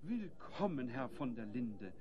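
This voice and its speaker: Deferential innkeeper, audio drama: medium pitch, polite, formal host manner. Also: male voice, very animated, unhurried, dull audio, background noise. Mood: apologetic, welcoming. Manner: formal, courteous. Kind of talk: German children's audio drama acting.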